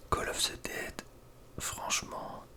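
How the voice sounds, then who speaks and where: A young man speaks in a soft breathy whisper, giving casual, slangy ASMR gaming commentary with a hesitant delivery. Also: slow. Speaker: ASMR video creator.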